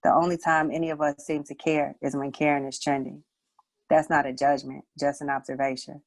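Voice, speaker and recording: A woman's voice: sits low, flat delivery, brisk, no room sound, poor audio. A woman reciting her own poetry as a spoken word piece. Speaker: poet, showcase participant.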